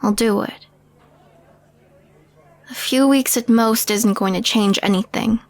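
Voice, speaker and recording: A woman's voice: on the high side, slow pace, darkish tone, no room sound. A woman playing a skeptical, wry young alien woman in an audio roleplay, hesitant and questioning. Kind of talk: intimate audio roleplay acting.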